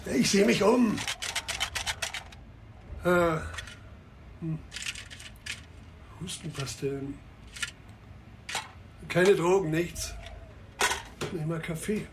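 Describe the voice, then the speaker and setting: An actor playing a weary, ageing police inspector in a radio crime drama, speaking in short, halting mutters. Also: male voice, high voice, vocal fry, background noise, full character voice. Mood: weary, focused. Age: middle-aged or older. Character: ageing male police inspector, weary, terse, searching a scene. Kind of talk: naturalistic German radio drama acting.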